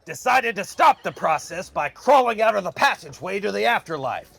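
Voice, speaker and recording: An actor playing a gruff, whiskey-loving escort of souls in an audio drama, sarcastic and put-upon, with a husky, gravelly voice. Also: male voice, high-pitched, very animated, fairly quick, fairly bright tone, exaggerated voice. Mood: exasperated. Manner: sardonic, exasperated, threatening. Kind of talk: dramatic audio fiction acting.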